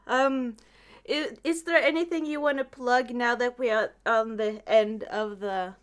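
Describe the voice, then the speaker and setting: A woman's voice: a little high, steady tone, a little slow. A woman hosting a casual livestream chat in a giggly, jokey way. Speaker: podcast host and streamer.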